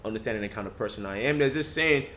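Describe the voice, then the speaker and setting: A man's voice: on the low side, racing, pushed and bright, slight background noise. A young man talking in a casual podcast discussion, reflective and full of restarts. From Nigerian family background. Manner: reflective, with frequent restarts and "you know".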